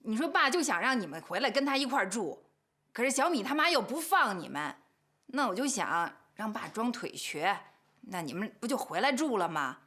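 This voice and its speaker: A woman's voice: fairly high pitch, sing-song, normal speed, pushed and bright, exaggerated voice. An actress playing a brash, stubborn older sister in her late thirties in a TV family drama, combative and indignant. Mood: defensive. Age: late 30s. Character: brash, stubborn, scheming older sister, quick to take offence. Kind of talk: naturalistic TV drama acting. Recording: faint background music.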